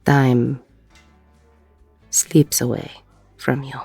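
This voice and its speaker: A woman playing a weary, regretful ex-convict bar owner in an intimate audio roleplay, with a husky, gravelly voice and a reflective delivery full of pauses. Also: very deep voice, level tone, mellow, dry recording, soft background music, exaggerated voice. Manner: slow, reflective, pausing mid-sentence.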